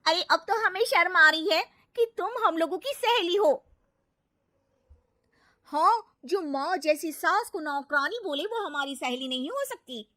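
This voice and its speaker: A woman voicing an excitable, outspoken young city socialite in an acted story. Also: very high-pitched, unhurried, voice pushed up, exaggerated voice. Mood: indignant. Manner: gushing, outspoken. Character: excitable, outspoken young city women, wife's party friends.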